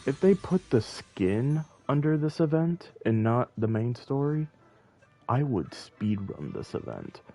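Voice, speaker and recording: Slow-talking man rambling on a game stream. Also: medium pitch, sing-song, gravelly, warm tone. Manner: rambling, thinking aloud. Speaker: game livestreamer. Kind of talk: casual livestream chat while playing.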